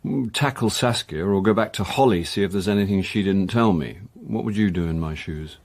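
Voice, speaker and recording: A middle-aged British amateur detective in a radio drama. His voice is very low and rough-edged, and he talks in a dry, wry way, a little hesitant as he asks his questions. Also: brisk, a little mellow. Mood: wry, weary. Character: wry male actor turned amateur sleuth, middle-aged. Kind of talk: naturalistic radio drama dialogue.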